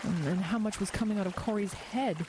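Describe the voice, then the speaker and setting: A woman acting a frightened, compassionate investigator in an audio horror drama, earnest and halting under strain. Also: medium pitch, background noise, lightly acted. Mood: urgent explaining.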